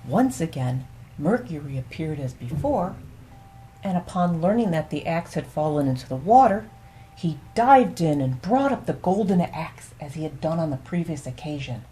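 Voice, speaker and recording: Female bedtime storyteller, slightly low voice with a soft, mellow tone, soothing and unhurried reading of fables. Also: expressive, a little hiss or hum, low-quality recording.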